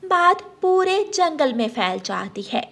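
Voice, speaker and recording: High-pitched woman story narrator. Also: sing-song, slow pace, gravelly, fairly bright tone. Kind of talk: scripted children's story narration.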